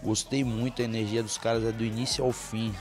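A man's voice: fairly low pitch, level tone, a little fast. A young man from Bahia, Brazil, a fighter talking in a casual interview in front of a press backdrop on a handheld mic. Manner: confident, hypes himself up. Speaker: MMA fighter. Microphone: handheld mic held by interviewer.

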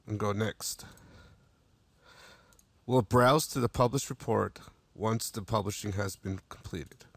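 Male tutorial narrator, low and gravelly, plain step-by-step delivery, dry booth sound. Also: dry recording. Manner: steady step-by-step instruction with small restarts. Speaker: software demo narrator.

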